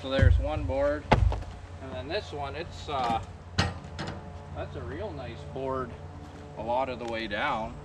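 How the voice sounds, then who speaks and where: A man in his thirties talks in a relaxed, folksy way, filling his sentences with easy fillers. He stands well back from a camera on a tripod in a wooded clearing. Loud outdoor ambience and machinery noise sit around his voice. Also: mid-range voice, slow pace, long pauses, distant mic. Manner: relaxed, folksy, lots of "kinda thing" fillers. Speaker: sawmill vlogger. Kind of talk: casual how-to chat. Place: outdoors at a sawmill clearing in the woods. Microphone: far camera on a tripod.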